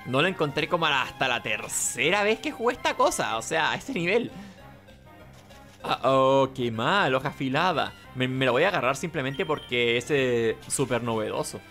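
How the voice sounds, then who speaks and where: A man's voice: medium pitch, lively intonation, moderate pace, bright, forceful tone, faint music behind. A man giving casual, rambling let's play commentary, talking to himself. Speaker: gaming host.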